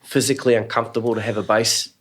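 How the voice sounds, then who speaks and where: A man's voice: fairly low pitch, fairly even intonation, rapid-fire, breathy edge. A man hosting a podcast interview, asking questions with plenty of ums and short encouraging replies. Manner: hesitant with many ums, frequent encouraging backchannels. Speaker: podcast host. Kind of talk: casual interview chat.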